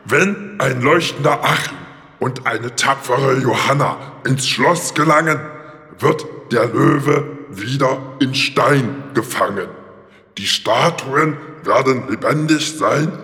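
A gravelly, creaky talking lion acted by a man speaks in a big reverberant hall. The creature is simple, grumpy and always hungry, pleading and stumbling over repeated words in a fairy-tale radio play for children. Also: medium pitch, slow, bright, forceful tone. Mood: storytelling. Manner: hesitant, repeats words, plaintive.

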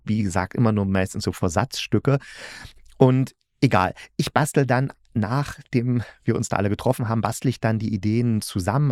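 A man with a fairly low voice presents a solo review podcast. He talks in a casual, chatty manner, often stepping out of his sentences for little asides. The sound is dry, with hardly any room to it. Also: darkish tone, dry recording. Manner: chatty, self-interrupting asides. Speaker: podcast host reviewing audio dramas.

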